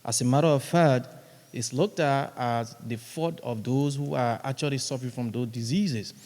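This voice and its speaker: Guy asking a question, formal and measured, mellow tone, echoey hall. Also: medium pitch. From Liberia. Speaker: audience member asking a question. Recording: long hall reverb.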